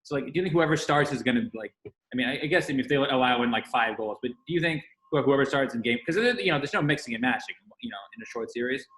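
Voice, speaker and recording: A man co-hosting a sports podcast, asking casual questions in halting, rambling phrases with a roomy sound. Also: medium pitch, expressive, a bit bright. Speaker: podcast co-host, interviewer.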